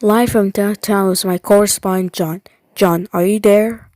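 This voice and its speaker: A woman's voice: mid-range voice, steady tone, a little slow, dark tone, dry recording, clean studio sound. A woman reading scripted emergency broadcast announcements in a formal, stilted manner. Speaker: emergency alert announcer and news anchor.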